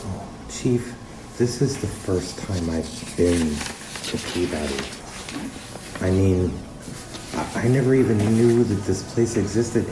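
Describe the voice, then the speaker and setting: A man playing a confused, defensive and weary accident survivor under questioning, halting and stammering, in a naturalistic fiction podcast. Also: low voice, background noise. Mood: weary, uneasy. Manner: halting, stammering, repeats words. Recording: roomy echo, music underneath.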